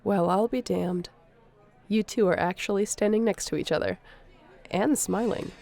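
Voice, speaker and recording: A woman playing a wry, businesslike boss of smugglers in an audio roleplay, speaking dryly with a dark, creaky voice. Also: medium pitch, normal speed, close to an everyday voice. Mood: amused, businesslike.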